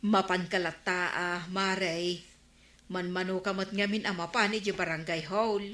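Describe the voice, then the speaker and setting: A woman's voice: lowish voice, fairly even intonation, normal speed, fairly bright tone, reverberant, natural voice. An actress playing a nosy village woman egging on others' suspicion in an Ilocano comedy radio drama, prodding, with an Ilocano accent.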